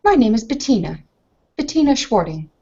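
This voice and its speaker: A woman's voice: a little high, very animated, normal speed, mellow, slightly rough, dull audio, pitched above the performer's own voice, exaggerated voice. A woman playing a haughty, angry young noblewoman in a tabletop game, speaking in a clipped, formal manner. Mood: curt, formal.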